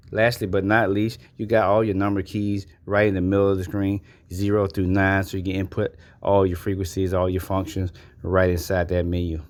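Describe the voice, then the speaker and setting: A man's voice: sits low, darkish tone. A man giving a casual, folksy product walkthrough as a reviewer in an unboxing video.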